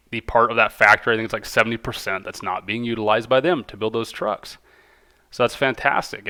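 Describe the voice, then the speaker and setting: Bright-voiced man, casual commentary. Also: medium pitch. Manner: enthusiastic, informal, slangy. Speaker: video host, amateur stock commentator. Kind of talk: casual commentary, reading out quotes and reacting.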